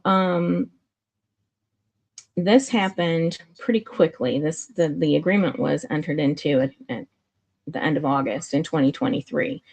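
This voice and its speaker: A woman's voice: low-pitched, gravelly, darkish tone. A woman with a nasal voice, hosting a live-stream commentary, walks through a contract step by step with many fillers and restarts. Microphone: streaming setup mic.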